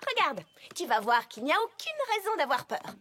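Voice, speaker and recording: A woman's voice: sits high, sing-song, moderate pace, full character voice. A woman voicing a studious, skeptical female unicorn pony in a French cartoon dub, precise and lecturing. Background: loud music score.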